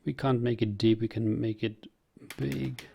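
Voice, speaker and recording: A man's voice: medium pitch, steady tone, unhurried, creaky, dark tone, slightly rough, lo-fi sound. A young man playing a strategy game on stream, thinking aloud in a hesitant, restarting way and answering viewer chat. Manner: hesitant, muttering plans with restarts.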